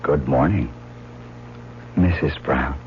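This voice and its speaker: An actor playing an earnest, hesitant young lover in an old-time radio drama, speaking in a halting way. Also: male voice, extremely low pitch, a little hiss or hum. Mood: tender. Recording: dull vintage radio recording.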